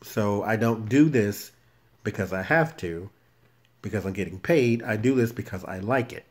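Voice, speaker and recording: Man, low-ish voice, very soft and muffled, never raises it, casual home phone recording. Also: animated. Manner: quiet, unhurried, never raises his voice. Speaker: tarot reader and channel host. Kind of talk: casual vlog chat to viewers. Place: shared room at home. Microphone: cell phone camera.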